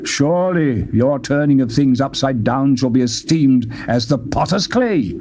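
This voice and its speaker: A man's voice: mid-range voice, animated, a little slow, a little mellow, slight background noise. A man reading scripture as a dramatic narrator, solemn and declamatory, in archaic diction, on an old cassette tape. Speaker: Bible narrator.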